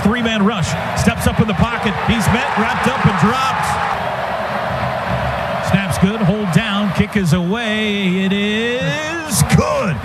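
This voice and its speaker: High-pitched American football play-by-play man. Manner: clipped phrases that build to excited shouts. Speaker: play-by-play announcer. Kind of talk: live American football radio commentary. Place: stadium radio booth. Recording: very noisy outdoor stadium broadcast. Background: loud stadium ambience.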